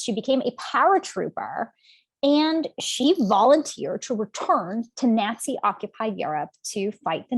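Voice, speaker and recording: A woman in her forties, an author from Canada, answering interview questions from home in an animated, storytelling way with frequent ums. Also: mid-range voice, slightly rough, no room sound, rough recording. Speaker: author guest.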